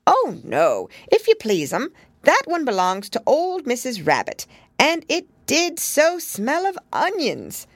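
A woman's voice: high-pitched, wildly swooping pitch, slow pace, no echo, pitched above the performer's own voice, heavily acted. A woman voicing a stout, anxious hedgehog washerwoman who talks humbly and keeps repeating polite phrases. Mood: fussy, polite.

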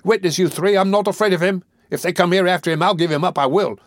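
A man voicing a frantic, fearless young boy in a storybook, shouting excitedly in a raised voice. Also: high-pitched, fast, no room sound, clean studio sound, pitched above the performer's own voice, close to an everyday voice. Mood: defiant, excited.

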